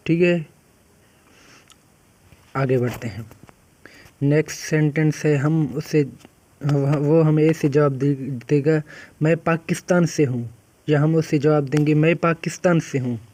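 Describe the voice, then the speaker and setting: Slow, patient man teaching phrases. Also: fairly high pitch, fairly even intonation, dark tone. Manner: repeats each phrase, drawing English words out very slowly. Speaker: English teacher. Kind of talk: spoken English practice lesson.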